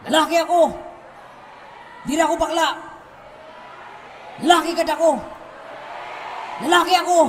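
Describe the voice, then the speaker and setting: Male actor as tormented closeted man, declamatory, high-pitched, theatrical monologue, reverberant hall, faint noise. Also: natural voice. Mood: desperate, defiant. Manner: impassioned, declamatory. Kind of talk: amateur stage play monologue. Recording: echoey hall, distant mic, faint noise.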